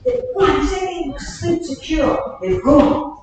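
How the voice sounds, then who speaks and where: A woman's voice: sits high, darkish tone. A woman playing an exultant, vengeful French prince at war in a Shakespearean staged reading. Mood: eager. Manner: slow declamation. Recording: noisy, echoey room from far off.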